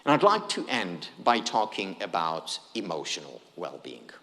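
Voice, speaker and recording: A man's voice: sits low, big pitch swings, normal speed, fairly bright tone, big-room sound. A man whose first language is Hebrew gives a conference keynote, engaging the audience with questions and humor. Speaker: keynote speaker.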